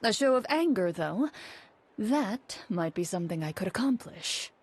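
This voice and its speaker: A woman voicing a composed female champion duelist in a game, calm, reserved and formal. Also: medium pitch, normal speed, husky, no echo. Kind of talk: game cutscene voice acting.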